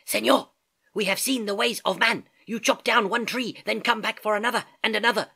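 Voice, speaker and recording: A storyteller voicing a troop of chattering monkeys, pitched high and talking fast. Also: fairly even intonation, vocal fry, bright, forceful tone, breathy edge, heavily acted. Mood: urgent.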